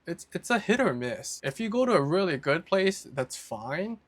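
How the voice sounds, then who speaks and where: A man's voice: high voice, big pitch swings, normal speed, no echo. A young American man from Hawaii talking casually and informally to camera as a vlogger. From Hawaii, United States. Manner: informal, conversational, mild slang. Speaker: vlogger presenting a list of favourite foods.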